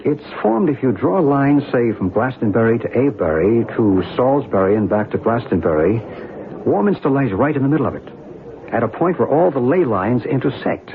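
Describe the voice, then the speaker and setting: An actor playing a learned, calm mystic guide in a radio drama, with measured, formal phrasing and quiet conviction. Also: male voice, medium pitch. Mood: assured, explanatory. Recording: tinny old radio recording, faint noise.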